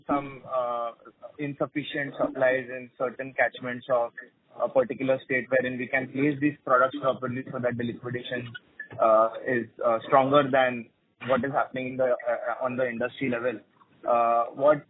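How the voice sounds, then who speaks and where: A man asking questions as an analyst on an earnings call, polite, wordy and hesitant. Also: medium pitch. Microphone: phone. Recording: dry, narrow phone-like line.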